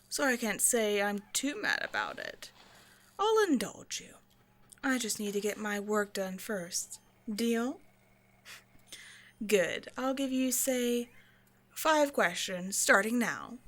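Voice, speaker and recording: A woman's voice: on the high side, a bit bright, full character voice. A woman voicing a haughty vampire scientist in an audio roleplay, with a playful, condescending, mock-sweet delivery. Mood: smug, indulgent. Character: female vampire scientist, teasing, haughty captor. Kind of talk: scripted ASMR roleplay monologue addressed to the listener.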